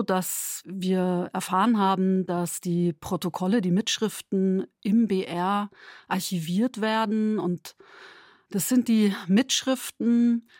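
A woman's voice: on the low side, darkish tone, no echo, clean studio sound. A woman explaining her work as a dramaturge in an interview statement. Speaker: chief dramaturge.

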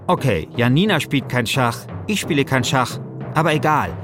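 A man's voice: mid-range voice, animated, normal speed. A man hosting a podcast with casual narration and commentary. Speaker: podcast host. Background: music.

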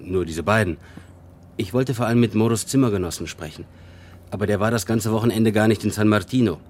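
Actor as low-voiced, matter-of-fact police officer. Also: male voice, a little fast, darkish tone, slight background noise, natural voice. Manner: plain, factual, reporting. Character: matter-of-fact male police officer reporting to his superior. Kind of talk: radio play acting.

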